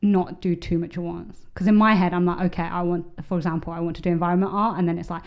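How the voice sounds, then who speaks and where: A woman's voice: fairly low pitch, little pitch movement, quick pace, lo-fi sound. A woman co-hosting a podcast in casual chat, rambling with many fillers and restarts. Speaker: podcast co-host.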